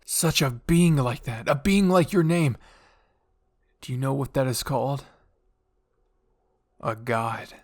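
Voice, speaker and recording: A man's voice: mid-range voice, takes it slowly, long pauses, no room sound, studio quality. A man voicing a pushy, fanatical royal father with a grandiose, fervent delivery in dramatic fan fiction roleplay.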